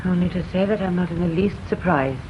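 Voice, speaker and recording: Radio drama widow female actor: terse, matter-of-fact, low-leaning register, faint background noise. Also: fast talker, natural voice. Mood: cool, curt. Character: cool, terse, unsentimental widow. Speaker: witness. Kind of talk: BBC radio drama acting. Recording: dull, slightly noisy radio recording.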